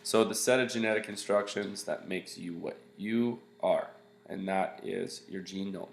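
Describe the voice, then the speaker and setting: A man with a congested, nasal voice talks through a recorded science lesson in a loose, rambling way, full of fillers and restarts. His pitch sits low with a gravelly edge, and the sound bounces around an echoey room. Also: unhurried, slight background noise. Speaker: science teacher.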